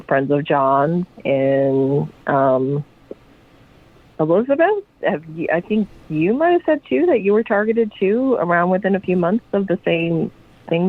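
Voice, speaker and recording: A woman guest answering in a slow, halting, hesitant way with many pauses. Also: sits low. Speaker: guest, widow. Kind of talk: casual interview talk. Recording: tinny phone line.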